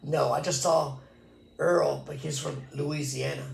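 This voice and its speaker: Man in his 60s, from Texas, gravelly voice, echoey room, reflective interview storytelling. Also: on the high side, steady tone, unhurried, slight background noise. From Texas, USA. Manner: reflective, earnest storytelling with many restarts. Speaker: interviewee, former pachuco. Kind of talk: casual recorded interview.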